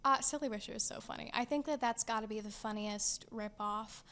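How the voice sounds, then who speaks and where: A woman's voice: on the high side, fairly quick, fairly bright tone. A teenage girl co-hosting a podcast in casual chat, laughing often and hesitating with frequent ums. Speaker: podcast co-host.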